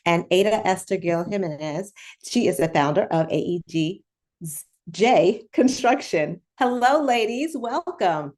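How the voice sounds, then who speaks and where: A woman's voice: mid-range voice, darkish tone. A woman facilitating a workshop, welcoming guests in a friendly way. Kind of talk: webinar moderation.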